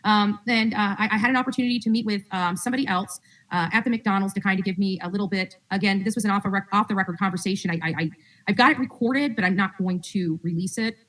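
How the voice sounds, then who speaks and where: A woman's voice: mid-range voice, fast talker, a bit bright. A woman hosting a true-crime livestream, giving casual, rambling updates to her audience through a distant mic.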